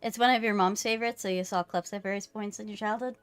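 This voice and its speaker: A woman chatting casually on a live game stream, playful, teasing and quick to swear, with music behind her. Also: medium pitch, steady tone, clean tone. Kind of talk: casual banter while live streaming a visual novel.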